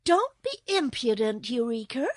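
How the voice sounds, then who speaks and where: Lady doing a little girl from a storybook, high and swoopy, earnest, fretful, kinda scolding. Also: very animated, measured pace, fairly bright tone, dry recording, studio quality, exaggerated voice. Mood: admonishing. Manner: anxious, pleading and scolding. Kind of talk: storybook character voice.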